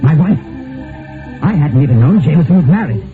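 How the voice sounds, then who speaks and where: A man's voice: on the high side, animated, fairly quick. An actor playing an anxious man on the run in an old-time radio mystery. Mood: tense, brooding. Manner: nervous, stammering in dialogue. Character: anxious male fugitive posing as a dead man. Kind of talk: old-time radio drama, first-person mystery narration and dialogue. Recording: tinny vintage radio recording, music behind.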